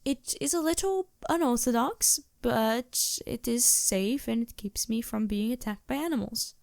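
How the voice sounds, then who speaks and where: Timid, high-voiced vampire woman, played by an actress. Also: measured pace, creaky, darkish tone, no room sound, clean studio sound. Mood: sheepish. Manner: hesitant, stammering, courteous, apologetic. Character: polite, anxious vampire woman posing as a traveling merchant. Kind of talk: fantasy roleplay audio drama, addressing the listener.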